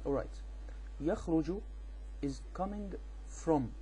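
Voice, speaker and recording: Slow, halting man with an Egyptian accent. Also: a little high, expressive, mellow, a little hiss or hum, rough recording. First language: Arabic. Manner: halting, repetitive, insistent. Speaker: amateur video responder on religion. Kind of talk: informal explanatory rebuttal to camera.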